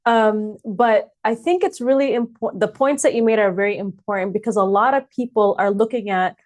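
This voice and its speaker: A Palestinian American woman hosting an interview podcast, talking conversationally and often pausing with filler sounds. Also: mid-range voice, darkish tone. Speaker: podcast host.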